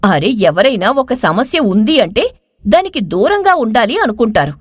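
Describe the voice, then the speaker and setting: A woman voicing a worried, exasperated village mother scolding her grown son in an animated story. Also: a little high, normal speed, breathy edge, no room sound, clean studio sound.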